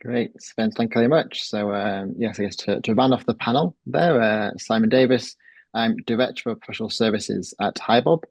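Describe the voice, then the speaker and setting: A man from Manchester introducing himself on a business webinar panel, relaxed with many fillers. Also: lowish voice, normal speed, creaky, dry recording. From Manchester, England. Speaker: panelist, services director.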